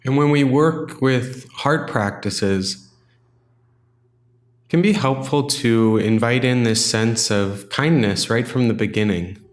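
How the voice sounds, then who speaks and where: A man leading a guided meditation in a gentle, unhurried, instructional way, leaving long pauses between phrases. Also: medium pitch, echoey room.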